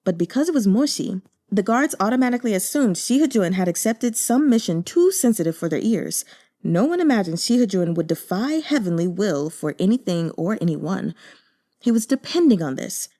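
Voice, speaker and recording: A woman reading a fantasy novel aloud as an audiobook narrator, with steady, measured storytelling. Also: medium pitch.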